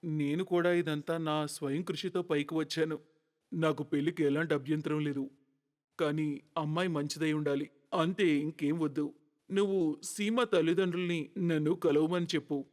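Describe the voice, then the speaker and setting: A man's voice: on the high side, steady tone, normal speed, close to an everyday voice. A man playing a stern but kind middle-aged father in a cartoon story, direct and authoritative, in a reverberant recording. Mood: firm, approving. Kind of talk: cartoon moral story acting.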